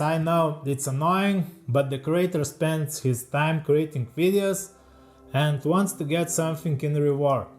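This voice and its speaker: A man with a Lithuanian accent reading a scripted voice-over from a teleprompter, in careful, slightly halting English as a second language. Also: high voice. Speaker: leathercraft YouTuber.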